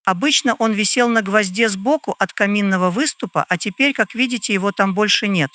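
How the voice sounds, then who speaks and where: A woman's voice: mid-range voice, brisk, a bit bright, no echo, close to an everyday voice. A woman playing a composed landlady in an old London detective audio drama, speaking formally and a little hesitantly. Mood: reminiscing.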